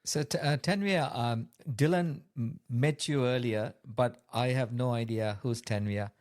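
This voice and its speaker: Man, podcast co-host, mid pitch, casual, slightly stumbling. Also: measured pace, a little mellow. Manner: casual, stumbling over words. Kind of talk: interview questions.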